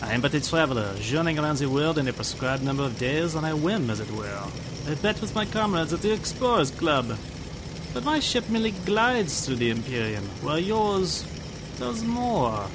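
A man playing a pompous, sly gentleman airship captain with florid, declamatory speech, as an old-time radio villain. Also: a little high, big pitch swings, normal speed, background noise. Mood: suave.

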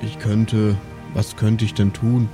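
A man's voice: fairly low pitch, monotone, slow pace, music in the background. A man playing in a tabletop role-playing podcast, chatting casually in a laid-back way. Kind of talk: casual chat.

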